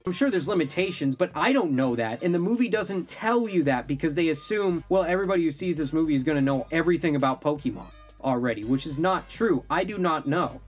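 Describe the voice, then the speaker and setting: A man's voice: a little high, lively intonation, brisk, faint music behind. A man co-hosting a movie review show, giving casual commentary in a blunt, emphatic way.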